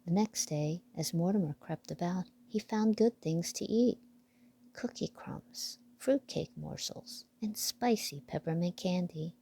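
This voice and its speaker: A woman reading a children's picture book aloud with deliberate storytelling phrasing. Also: on the low side, vocal fry, dark tone, husky.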